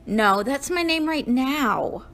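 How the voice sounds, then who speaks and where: A woman performs an anxious young girl puppet with a high voice that swoops expressively. She frets and muses aloud, chatty and self-conscious, in the manner of a children's show. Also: lively intonation, takes it slowly, a bit bright, heavily acted. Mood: musing, fretful. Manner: fretful, chatty, musing aloud.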